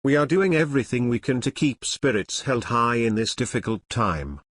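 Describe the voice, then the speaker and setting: A man's voice: medium pitch, expressive, moderate pace. A man reading a formal, scripted emergency broadcast announcement.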